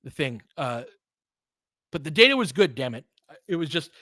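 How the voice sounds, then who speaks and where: A podcast host chatting casually with viewers, telling a rambling story full of fillers and restarts. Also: male voice, on the high side, big pitch swings, moderate pace, bright, forceful tone, dry recording, clean studio sound. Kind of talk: casual livestream chat answering viewers.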